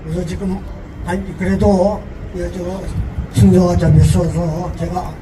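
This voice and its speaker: A man with a cold gives a speech at an outdoor rally in a hoarse voice that sits very high, and he shouts slogans for the crowd to call back. He is heard at a distance through the open air. A crowd and street noise fill the background. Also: little pitch movement, measured pace. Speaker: rally speaker.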